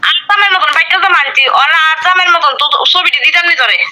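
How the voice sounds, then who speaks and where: A speaker with an eastern Bengali rural dialect chatting casually in a halting, repetitive, colloquial way. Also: very high-pitched, very noisy. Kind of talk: casual phone chat.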